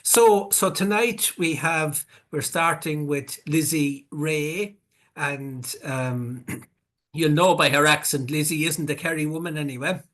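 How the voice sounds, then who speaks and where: A man hosting a parish workshop, talking in a measured way and repeating words as he searches for them. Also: on the high side, fairly bright tone. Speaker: workshop host.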